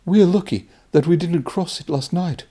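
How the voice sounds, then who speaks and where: A man's voice: fairly high pitch, moderate pace, dark tone, slightly rough, pitched above the performer's own voice. A man voicing a proud, grumbling but nervous steam engine in a storybook, with a huffy, blustering manner.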